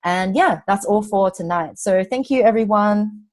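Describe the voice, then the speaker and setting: A woman hosting an online webinar over a computer mic, talking quickly and enthusiastically in a soothing voice. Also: mid-range voice. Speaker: webinar host. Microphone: computer mic on video call.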